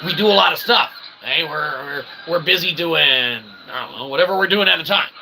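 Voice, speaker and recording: A man's voice: fairly high pitch, normal speed, vocal fry, noisy background. A man delivering a rambling, off-the-cuff monologue in pompous, convoluted phrasing, often checking in with "okay" and "all right". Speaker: self-styled philosopher and channel host.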